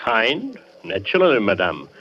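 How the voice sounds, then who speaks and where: An actor playing a skeptical, officious police lieutenant, formal and dismissive, in 1940s radio suspense style. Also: male voice, lowish voice, huge pitch swings, unhurried, husky, exaggerated voice. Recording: dull, hissy vintage radio recording.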